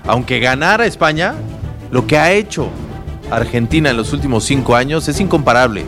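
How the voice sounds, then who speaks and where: A man's voice: medium pitch, animated, a little fast, music in the background. A man with a Mexican accent hosting an unscripted sports panel, speaking emphatically and often repeating himself. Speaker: sports talk show host.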